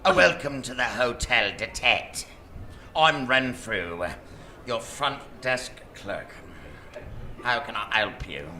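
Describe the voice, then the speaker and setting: A man playing a gnarled, creepy desk clerk in a live radio comedy-horror, halting and obsequious. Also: medium pitch, animated, bright, forceful tone, exaggerated voice. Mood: oily, formal. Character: gnarled, creepy, slow-witted male hotel desk clerk. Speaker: actor. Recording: live stage recording, background noise.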